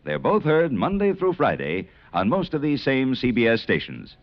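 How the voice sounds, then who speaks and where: A man's voice: medium pitch, wildly swooping pitch, normal speed, heavy background noise. An American man reading a scripted 1950s radio network promo in a formal, polished announcer manner. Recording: dull vintage radio recording.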